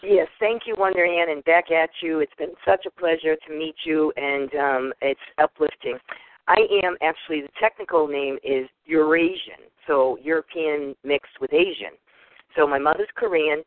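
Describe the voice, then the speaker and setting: A woman's voice: low-pitched, no echo. A woman with a Boston accent, calling in as a guest and chatting in an upbeat way. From United States. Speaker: guest caller. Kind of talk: casual call-in chat. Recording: tinny phone line, dry.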